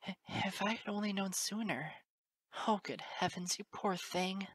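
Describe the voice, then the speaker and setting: A woman's voice: on the low side, very slow, a bit bright, pitched above the performer's own voice, heavily acted. A woman voicing a caring, emotional female pony schoolteacher in a storybook reading, earnest and tender. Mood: moist-eyed, reassuring. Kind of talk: dramatic audiobook character acting.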